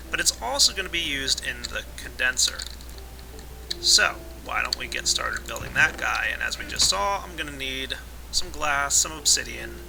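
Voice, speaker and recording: A man with a raspy voice today, casually explaining a modded game as its let's play host, with relaxed fillers. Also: medium pitch, slight background noise, low-quality recording. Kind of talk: casual gameplay tutorial narration.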